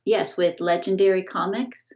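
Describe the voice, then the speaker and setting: A middle-aged woman talking as an interview guest over a video call, halting and full of restarts. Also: lowish voice, fairly even intonation, a little mellow. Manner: halting, many restarts and fillers. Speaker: guest, family estate representative. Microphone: video call, earbuds. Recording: dull lo-fi video call audio.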